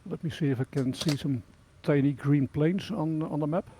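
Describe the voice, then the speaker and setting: A man's voice: a little high, normal speed, mellow, a little hiss or hum, low-quality recording. A man in his sixties speaking plainly and matter-of-factly as a guest pilot in a casual group chat. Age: 60s.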